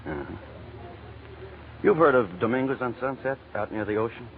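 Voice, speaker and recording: A man's voice: mid-range voice, normal speed, vocal fry, lightly acted. A man acting a slick gambler, tinny old radio. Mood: coaxing. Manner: slangy, clipped, slick. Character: smooth-talking male gambler, persuasive, secretly dangerous crook. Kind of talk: 1940s radio drama acting. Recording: tinny, noisy vintage radio recording.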